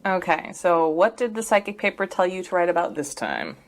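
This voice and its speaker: A skeptical, practical woman academic in an audio drama, played by an actress. Her voice sits a little low, and she has a dry, questioning way of talking. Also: wildly swooping pitch, a little fast. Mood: skeptical, puzzled.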